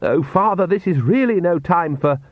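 A male reader puts on a furious young female vampire for a story. The voice is raised high and turns shrill and scornful, with big swings in pitch and a snarling, sharp-tongued edge. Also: lively intonation, moderate pace, dry recording, phone-quality sound. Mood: panicked anger.

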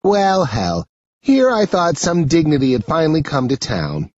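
A man voicing a petite, brisk mother who speaks French and is easily disappointed, in an audiobook. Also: fairly high pitch, wildly swooping pitch, a little mellow, no room sound, highs cut off, voice pushed up, full character voice.

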